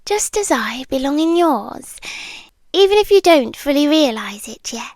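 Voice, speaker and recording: Slow, whispery British monster girlfriend, acted by a woman. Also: sits high, lively intonation, no room sound. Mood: possessive, tender. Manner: soft, slow, often slipping into breathy whispers. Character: centuries-old female tailed monster girlfriend, possessively devoted, tender. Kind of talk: intimate yandere ASMR roleplay.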